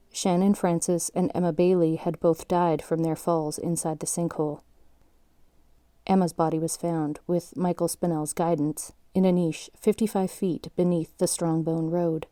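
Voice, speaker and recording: A woman's voice: on the low side, dark tone, no room sound, close to an everyday voice. A woman playing a sober documentary narrator in an audio drama, reading scripted, factual narration solemnly.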